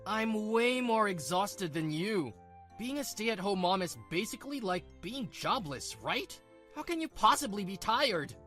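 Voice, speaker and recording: A man acting a domineering husband in a manga dub. His voice sits fairly high for a woman's range but low beside one, scornful and quick to blame. He sounds self-pitying and short-tempered, with music behind him. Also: lively intonation, fairly bright tone. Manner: scornful, blaming.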